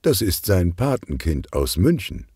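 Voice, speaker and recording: A man narrating a children's detective audiobook in an expressive storytelling manner. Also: low voice, measured pace, slightly rough, no room sound, studio quality.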